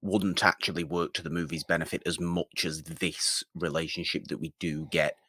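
Male podcast co-host, low and forceful, casual film talk, restates points emphatically, dry recording. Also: level tone, moderate pace, husky.

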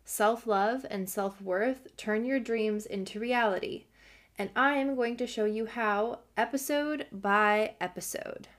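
A young adult woman hosting a self-help podcast, earnest and encouraging, her voice slightly hoarse from a cold. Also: fairly high pitch, measured pace. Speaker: podcast host.

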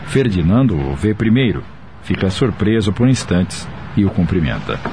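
A man's voice: low-pitched, very animated, normal speed, background noise. A man narrating a scripted radio drama and announcing it.

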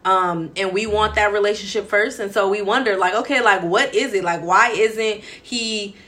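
Bright-voiced woman in her late twenties. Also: medium pitch, normal speed. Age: late 20s. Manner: chatty, filler-heavy, laughs easily. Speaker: podcast co-host. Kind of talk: casual chat.

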